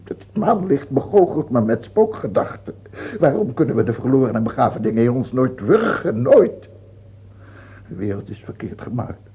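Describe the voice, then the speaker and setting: An actor playing a sick, paranoid, self-pitying old king in a radio drama, with a husky, gravelly voice and a theatrical, halting delivery. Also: medium pitch, sing-song. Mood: panicked, fearful. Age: older. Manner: theatrical, halting, swinging between whining and outbursts. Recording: dull, muffled old radio recording.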